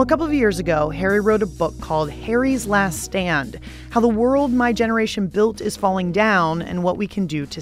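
A woman radio host speaks at a middle pitch, brisk and conversational. She reads scripted links with upbeat ease. Faint music plays beneath her.

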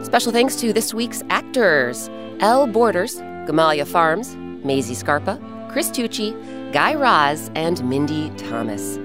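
A woman hosting and narrating a children's story in an expressive, scripted style with dramatic pauses. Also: on the low side. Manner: clear, animated, dramatic pauses. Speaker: host and narrator. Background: instrumental music.

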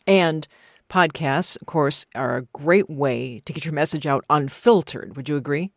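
A woman's voice: deep voice, moderate pace, dry recording. American woman hosting radio, dry studio sound. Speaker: radio host interviewing a guest. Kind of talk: broadcast interview.

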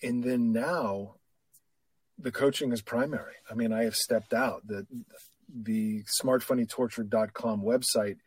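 A middle-aged man, a coach and podcast guest, talking candidly and reflectively in a relaxed conversation. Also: mid-range voice, a little slow, husky, dry recording. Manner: reflective, candid, self-deprecating. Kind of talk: casual conversation.

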